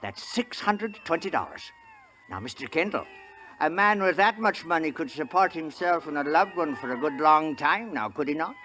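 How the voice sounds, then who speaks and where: An actor playing a flattering, wily Irish swindler in a radio western, theatrical and wheedling. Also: male voice, on the high side, moderate pace. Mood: boastful, smooth. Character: flattering, wily Irish cat-selling charmer. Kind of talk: 1950s radio western acting. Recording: noisy 1950s radio recording.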